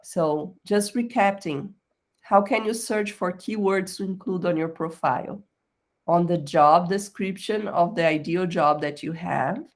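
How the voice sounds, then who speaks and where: Woman career coach, slightly lower voice, patient step-by-step webinar delivery, often checks in with "okay?". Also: measured pace. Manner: explanatory, checks in with "okay?", non-native phrasing. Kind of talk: screen-share tutorial on a professional profile.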